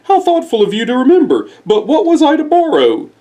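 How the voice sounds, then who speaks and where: High-pitched, scatterbrained cartoonish owl. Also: male voice, wildly swooping pitch, a little fast, dark tone, heavily acted. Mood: cheerfully muddled. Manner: dithering, scatterbrained, tongue-twisted. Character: forgetful, muddled, cheerful male owl neighbor.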